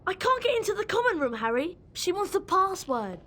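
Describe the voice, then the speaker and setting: A woman's voice: very high-pitched, big pitch swings, fairly quick, pushed and bright, slight background noise, heavily acted. A woman voicing a cheeky young English schoolboy in a video game. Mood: eager.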